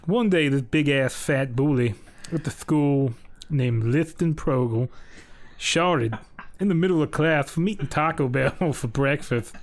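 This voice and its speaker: Casting: male actor as jolly, crude Southern bedtime storyteller, higher-than-average pitch, rambling homespun delivery. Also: measured pace. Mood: folksy, crude. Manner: folksy, ungrammatical, rambling asides. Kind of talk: mock children's bedtime story narration.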